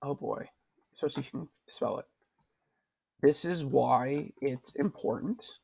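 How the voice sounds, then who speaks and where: Gravelly-voiced male teacher walking through a lesson. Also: medium pitch, measured pace, mellow. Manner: patient, repeats questions to prompt students. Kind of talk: screen-recorded writing lesson.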